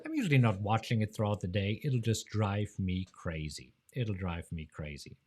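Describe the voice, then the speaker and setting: A man from Germany with a German accent, hosting a casual live-stream Q&A in a chatty, jokey way, laughing often and jumping up in pitch. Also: very animated, normal speed, a little mellow. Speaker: host of a live trading show.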